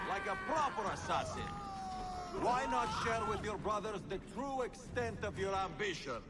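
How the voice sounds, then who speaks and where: High male voice, bitter traitor assassin, taunting, theatrical, menacing, video game drama, music behind. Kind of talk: video game cutscene acting.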